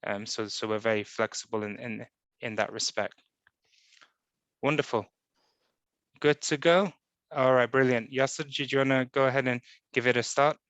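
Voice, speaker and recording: A man's voice: medium pitch, fairly even intonation, moderate pace, pauses often, fairly bright tone, clear, resonant tone, no echo. A man chairing an online event and introducing the speakers, hesitant, with many fillers and repeated words, over a video call.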